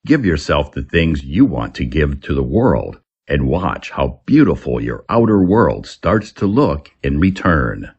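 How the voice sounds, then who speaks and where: A man with a low, husky voice reads vocabulary lesson material as a teacher. His delivery is slow, with deliberately separated phrases and animated swings in pitch. The recording is a little dull. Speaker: English teacher. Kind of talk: scripted vocabulary lesson narration.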